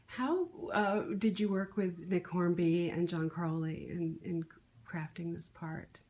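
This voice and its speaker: A woman interviewing an actress, asking questions in a wry, halting way. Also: fairly low pitch, darkish tone.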